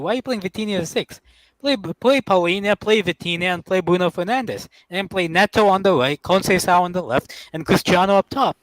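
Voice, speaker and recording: A man's voice: high voice, fairly bright tone, dry recording, low-quality recording. A young man in a casual football chat, rambling and hesitant, with many fillers and restarts. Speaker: panelist.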